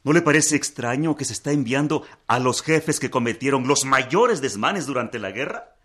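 An actor playing an earnest, indignant revolutionary leader in a historical radio drama, speaking in formal, emphatic oratory. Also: male voice, medium pitch, expressive, moderate pace, fairly bright tone. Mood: troubled, indignant.

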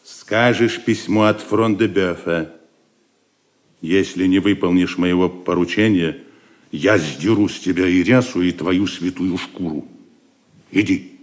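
Menacing baron in a reverberant hall. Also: male voice, low voice, steady tone, a little slow. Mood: commanding. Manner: commanding, threatening. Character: domineering, menacing Norman baron, master of the castle. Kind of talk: audio drama acting. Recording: long hall reverb, faint music underneath.

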